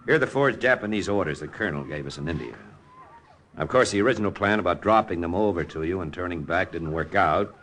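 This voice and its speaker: A brash, wry American soldier with a sharp, forceful voice and big swings in pitch. He talks in slangy exclamations, in vintage radio drama fashion. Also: animated, fast, slight background noise. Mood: amused, relieved. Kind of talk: old-time radio drama acting.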